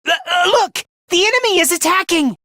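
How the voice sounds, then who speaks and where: A man's voice: very high-pitched, expressive, brisk, pushed and bright, dry recording, clean studio sound, heavily acted. A man voicing a timid, anxious, self-loathing young male student in an anime video game, with a thin voice and a stammering, whiny delivery. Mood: panicked.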